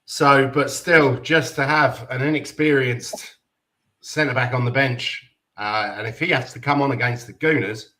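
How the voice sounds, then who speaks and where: A man's voice: medium pitch, unhurried, bright, forceful tone, a little hiss or hum. A man hosting a football chat stream, blunt and sweary. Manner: blunt, swears, says mate.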